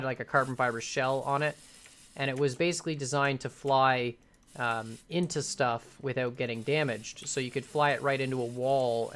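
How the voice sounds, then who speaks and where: Young man streaming, voice getting hoarse. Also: medium pitch, a bit bright. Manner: rambling, self-correcting, thinks aloud. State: voice going hoarse. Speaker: game streamer. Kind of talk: casual live-stream commentary while building. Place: home room at a computer.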